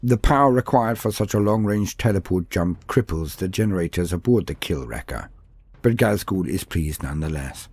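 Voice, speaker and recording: Low, husky male storytelling narrator. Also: expressive, moderate pace, darkish tone, soft background music. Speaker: narrator of a lore video. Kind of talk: scripted dramatic narration of fantasy war lore.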